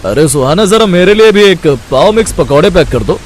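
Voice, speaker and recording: A man's voice: high-pitched, animated, brisk, a little hiss or hum, pitched below the performer's own voice. A man voicing a casual, insistent street-food customer in a cartoon story. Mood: casual ordering. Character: haggling, familiar male street-food customer.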